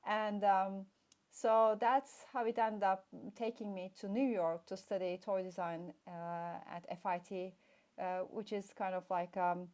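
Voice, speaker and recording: A woman's voice: on the low side, a little mellow. A middle-aged woman from Turkey, a guest play expert, chatting casually and telling her story with many fillers. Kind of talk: casual interview chat.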